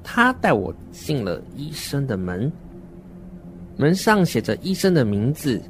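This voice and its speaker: An adult playing a slow, earnest seven-year-old child. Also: mid-range voice, sing-song, slight background noise. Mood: uneasy, earnest. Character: seven-year-old child narrator, naive, wordy, uneasy. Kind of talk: horror radio drama monologue, scripted narration.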